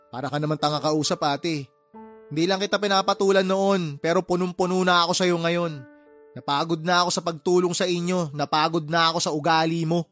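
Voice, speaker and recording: A fed-up younger brother in a radio drama, pitched high for a man. He is indignant and confrontational, pushing back at a freeloading sister with forceful delivery over a music bed. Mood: angry, defiant. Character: fed-up, hardworking man scolding his freeloading older sister.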